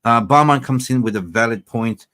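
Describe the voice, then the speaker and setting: A man from Sweden hosting a casual post-match football fan chat, blunt and swearing, getting excitable as he reacts to live scores. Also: medium pitch, moderate pace. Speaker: football fan channel host.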